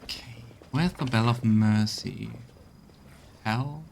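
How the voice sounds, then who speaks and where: A man's voice: fairly low pitch, slow pace, creaky, darkish tone, a little hiss or hum. A man streaming a game with casual live commentary, muttering wry asides to himself as he plays.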